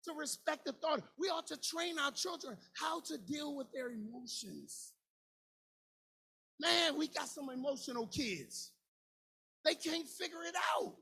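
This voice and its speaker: A man in his forties preaching a sermon, impassioned and colloquial, swinging between shouted lines and a half-sung cadence. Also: extremely high pitch, lively intonation, unhurried, creaky. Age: 40s. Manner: colloquial, informal grammar.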